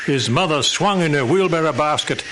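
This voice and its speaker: A man narrates a scripted tale for radio theatre. His fairly high voice moves with lively intonation, over clearly audible noise. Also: normal speed. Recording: noisy live radio studio recording.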